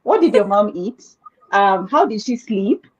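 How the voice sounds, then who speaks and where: A woman panelist speaking in a relaxed, self-assured way with frequent fillers, over a video call. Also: medium pitch, a little slow. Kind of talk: casual panel discussion. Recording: dull lo-fi call audio.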